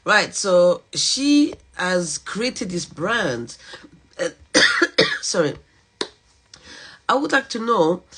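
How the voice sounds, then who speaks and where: A woman's voice: fairly low pitch, husky. A woman with a French accent, hosting a casual live podcast over a video call while getting over a cold. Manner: chatty, warm greetings, lots of "okay" and "all right" fillers. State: recovering from a cold. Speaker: podcast host.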